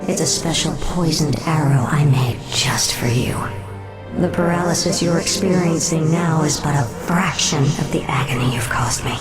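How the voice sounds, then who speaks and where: A woman's voice: low-pitched, normal speed, over loud music, lightly acted. An actress playing a cold, bitter, proud undead elven queen in a video game cutscene. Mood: cold, vindictive.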